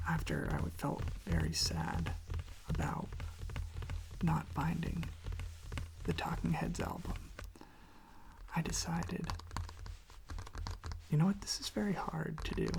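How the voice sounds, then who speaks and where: An ASMR creator speaking very softly, often in a whisper, in an unhurried, rambling casual chat with self-interruptions, close to the microphone. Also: medium pitch, wildly swooping pitch, gravelly, rough recording. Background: tapping and handling of records.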